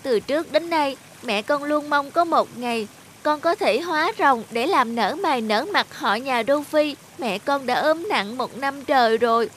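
A woman voicing a small, young talking fish in an animated fairy tale, expressive and earnest. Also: high-pitched, moderate pace, slight background noise. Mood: pleading, earnest.

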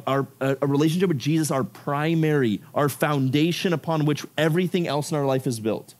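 A man preaching to high schoolers in a room of about fifty, his voice sitting a little high. He grew up in Ecuador. His delivery is loose and conversational, peppered with "like" and quick check-ins of "right?". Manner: casual, animated, filler-heavy with frequent "like" and "right?". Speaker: youth pastor. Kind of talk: youth sermon. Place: youth service room with about fifty people.

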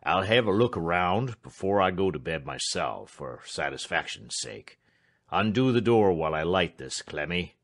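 Man acting a deep, bluff, self-important manservant. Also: animated, normal speed, fairly bright tone, heavily acted. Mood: alert, bluff. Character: hearty, self-important, good-natured manservant.

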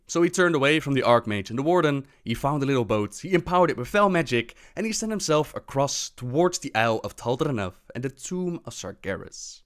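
A man narrating fantasy game lore as scripted storytelling, with personal asides. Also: medium pitch.

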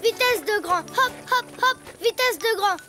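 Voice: extremely high pitch, measured pace, over music. A performer voicing a cheerful, proud little boy donkey in a preschool cartoon, with a childish, earnest singsong manner. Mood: bossy, proud.

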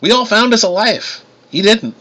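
A man's voice: high-pitched, animated, normal speed, pushed and bright, pitched above the performer's own voice, exaggerated voice. A man voicing a folksy, protective older-brother god who races stock cars, with a drawling Southern US accent, in an audiobook story. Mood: earnest.

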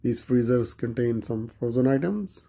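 A man's voice: mid-range voice, expressive, measured pace, gravelly, mellow. A man vlogging a walk through a large grocery store, plainly listing produce and prices. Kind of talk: grocery store walkthrough narration.

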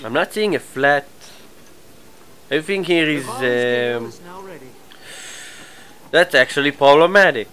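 A man with a cold and a stuffy nose, chatting casually through a live game stream, his asides often trailing off quietly. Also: medium pitch, unhurried, long pauses. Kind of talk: live gameplay commentary.

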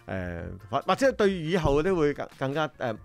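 A man's voice: on the high side, sing-song, fairly quick. A man with a Hong Kong accent hosting a casual radio interview in a chatty, reactive way. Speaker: radio host. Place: radio studio.

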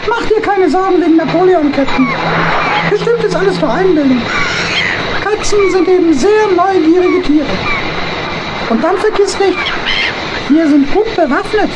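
A woman's voice: very high-pitched, lively intonation, normal speed, long pauses. A woman voicing a small, cheerful, loyal hedgehog sailor in a children's radio play, carefree and reassuring. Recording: very noisy old audio drama record.

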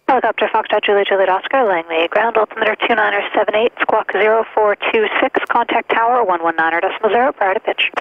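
An air traffic controller giving clipped, procedural instructions in rapid phraseology over an aviation radio. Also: mid-range voice. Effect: aviation radio transmission. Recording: tinny narrowband aviation radio.

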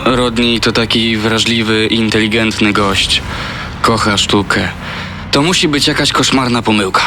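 A man's voice: lowish voice, fairly even intonation, measured pace, natural voice. Disbelieving male friend played by an actor, noisy background. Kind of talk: dramatised true-crime radio reenactment.

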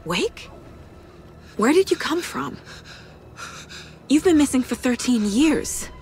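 A woman's voice: sits high, takes it slowly, exaggerated voice. Composed woman federal agent, noisy background. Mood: calming, firm. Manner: calm, direct, businesslike. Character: composed, concerned adult woman FBI agent. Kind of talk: video game drama acting. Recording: noisy ambience behind the voice.

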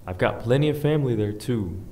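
An actor playing a gentle, reassuring military husband and stepfather in an audio drama, speaking softly and earnestly. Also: medium pitch, expressive, a little fast, slight background noise, close to an everyday voice. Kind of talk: naturalistic audio drama acting.

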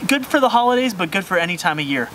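A man's voice: high voice, very animated, quick pace, a bit bright, a little hiss or hum, poor audio. Upbeat young man reviewing beer outdoors. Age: young adult. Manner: enthusiastic, descriptive, repeats words. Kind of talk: casual beer tasting review. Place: outdoor brewery patio.

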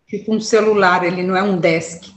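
A middle-aged woman, making quick chatty asides on a video call. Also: on the low side, steady tone, a little hiss or hum. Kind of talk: casual chat. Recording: roomy echo.

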